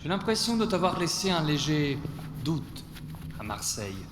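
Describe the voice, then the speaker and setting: An actor playing a smug, calmly menacing terrorist boss in an audio drama, taunting with mock friendliness. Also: male voice, fairly high pitch, normal speed. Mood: smug menace. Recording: long hall reverb, clear noise.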